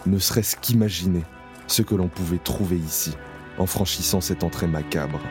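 Male narrator, very deep, grave and measured, over a music bed. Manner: slow, solemn, measured. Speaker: narrator playing Isaac, camp prisoner. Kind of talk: audio drama narration. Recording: clear music bed under voice.

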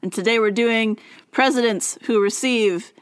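A woman's voice: on the high side, a little slow, clear, resonant tone, dry recording. A young woman co-hosting a comedy podcast in a casual, playful, giggly and informal way. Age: young adult. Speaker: podcast co-host.

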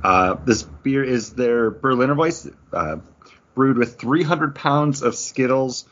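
A man's voice: medium pitch, slow, a bit bright. A man co-hosting a podcast in a casual, conversational way, describing things as he chats. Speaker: podcast co-host. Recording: slightly muffled, lo-fi audio.

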